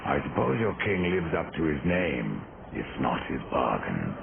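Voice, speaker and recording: A man's voice: a bass voice, sing-song, moderate pace, creaky, phone-quality sound, background noise, exaggerated voice. An actor playing a grim, defiant horseman of death in a video game, with a curt, sardonic, menacing delivery. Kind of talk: dramatic video game cutscene acting.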